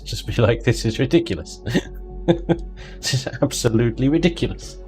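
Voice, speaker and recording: A man's voice: mid-range voice, animated, moderate pace, darkish tone, soft background music. A man hosting a livestream, chatting casually and jokily while playing a game. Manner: chatty, jokey, drifts into mock voices and laughter. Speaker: livestream host. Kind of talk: casual chat while gaming.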